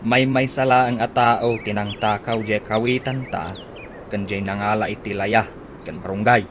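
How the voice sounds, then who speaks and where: An actor playing a mischievous, scheming old husband in an Ilocano radio comedy drama, with an Ilocano accent and a chuckling, exclamatory manner. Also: male voice, on the low side, fairly even intonation, normal speed, lightly acted. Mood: suspicious, plotting. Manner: chuckling, exclamatory, swooping delivery. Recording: noisy radio drama with ambience.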